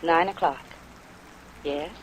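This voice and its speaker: A secretive femme fatale, cool and daring. She speaks in a hushed, teasing, deliberate way. The old radio recording carries faint noise. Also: low voice, poor audio. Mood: cool, mysterious. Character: mysterious, cool young woman, daring and secretive. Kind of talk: 1950s radio noir acting.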